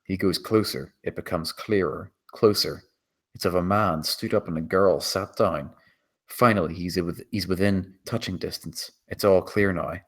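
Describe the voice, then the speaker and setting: A man's voice: deep voice, steady tone, moderate pace. A man reading scripted screenplay action as the narrator.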